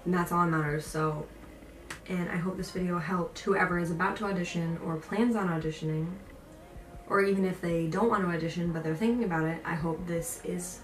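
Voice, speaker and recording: A young woman chatting casually as a vlogger, informal and emphatic, repeating phrases as she tells stories and gives encouraging advice. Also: lowish voice. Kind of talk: casual chat to camera, storytelling and advice. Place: indoor room. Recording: roomy echo, distant mic, faint noise.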